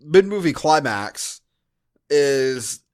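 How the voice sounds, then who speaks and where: A man's voice: a little high, big pitch swings, drawn-out words, crowd noise. A man hosting a podcast, recapping a movie in casual chat with dry, sarcastic asides. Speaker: podcast host.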